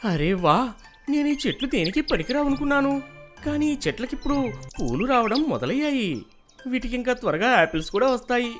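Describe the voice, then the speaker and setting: A woman's voice: fairly high pitch, big pitch swings, a little fast, faint music behind. A woman voicing a humble, polite, poor young male farm labourer in a cartoon moral story. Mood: delighted wonder. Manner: meek, polite.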